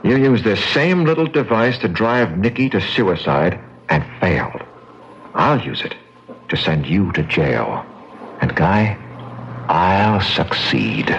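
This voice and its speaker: A grim, deep-voiced accuser. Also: male voice, big pitch swings, a bit bright, exaggerated voice. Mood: coolly triumphant. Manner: grave, accusing, deliberate. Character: grimly determined male accuser trapping a killer. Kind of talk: old radio drama acting. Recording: noisy, dull old radio recording.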